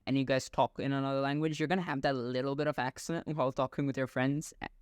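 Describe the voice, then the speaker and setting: A young man from Bangladesh, hosting a casual chat in a relaxed, thinking-aloud way. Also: medium pitch, fast talker, clean tone, no room sound, studio quality. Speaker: podcast host. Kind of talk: casual online interview chat.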